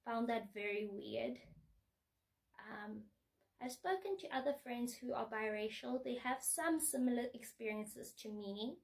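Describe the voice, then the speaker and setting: A woman vlogger speaking to the camera in an earnest, measured, reflective way. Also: fairly high pitch, expressive, creaky, far from the mic. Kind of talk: personal vlog monologue.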